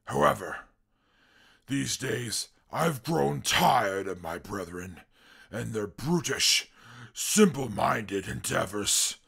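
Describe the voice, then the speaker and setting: Pompous brainy mutant brute, super gravelly, slow and wordy, swings up and down, radio play. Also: male voice, lowish voice, big pitch swings, fairly bright tone, full character voice. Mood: weary, indignant. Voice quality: gravelly, husky. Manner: pompous, formal, wordy, prone to violent outbursts. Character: eloquent, pompous, brutal super mutant with inflated intellect. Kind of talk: post-apocalyptic radio drama acting.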